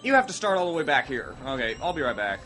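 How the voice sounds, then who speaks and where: A man's voice: medium pitch, big pitch swings, fast talker. Sick Midwestern man rambling over game music. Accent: Midwestern American. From American Midwest. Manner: rambling, self-mocking, with sudden outbursts. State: sick, coughing. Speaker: let's play host. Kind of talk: casual gameplay commentary. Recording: game music playing loud underneath.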